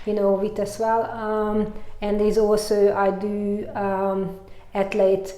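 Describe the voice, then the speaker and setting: A woman with a Hungarian accent talks through her story in a loose, chatty way, peppering it with "you know" and easy laughs. Her pitch sits in a middle range but barely moves, staying quite level. The sound bounces around an echoey room. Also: monotone, slow, dark tone. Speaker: mountain bike coach. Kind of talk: casual interview chat.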